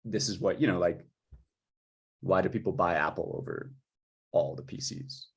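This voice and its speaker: A man talking informally about his work as a music composer, with a husky voice. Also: low voice, normal speed. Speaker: music composer for audio fiction.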